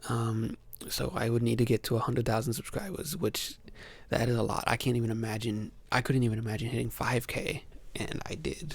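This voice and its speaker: Soft-spoken young man, dry close mic. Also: medium pitch, dry recording. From Ohio, USA. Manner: hesitant, many ums and restarts. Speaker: ASMR channel host.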